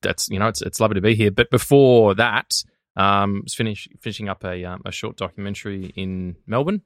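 A man's voice: lowish voice, brisk, no room sound, clean studio sound. A man guest on a podcast, telling stories in a laid-back, rambling way. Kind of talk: casual chat.

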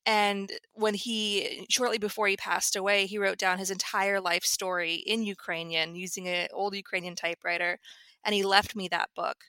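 Bright-voiced woman telling her story. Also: medium pitch, level tone, normal speed, no echo. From United States. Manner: earnest, storytelling. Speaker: screenwriter guest. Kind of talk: interview answer.

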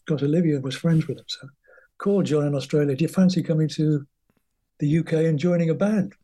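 Older English man, guest storyteller, rambling and chatty, mellow husky tone, slightly high voice. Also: steady tone, a little fast. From England. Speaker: guest musician. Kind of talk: anecdotal interview storytelling.

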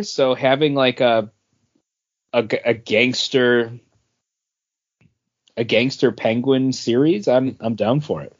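A man's voice: medium pitch, husky. Guy chatting on a podcast, takes his time, kinda muffled audio. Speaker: podcast co-host. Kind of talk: casual chat about entertainment news.

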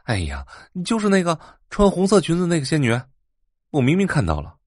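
A man playing an eager, chatty young sidekick in an audio drama, excitable and deferential. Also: a little high, fairly quick, no echo, clean studio sound. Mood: eager, curious.

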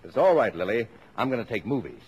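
A man's voice: fairly low pitch, big pitch swings, fast talker, a little mellow. An actor playing a stubborn, jovial middle-aged American father in a 1950s radio drama, brash and bossy in manner. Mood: brisk, stubborn. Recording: noisy vintage radio broadcast recording.

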